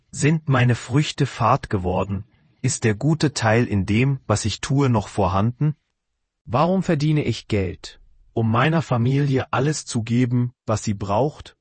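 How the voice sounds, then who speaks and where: A man preaching a sermon to his congregation, asking searching questions in an earnest, measured way. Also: mid-range voice, husky, no echo.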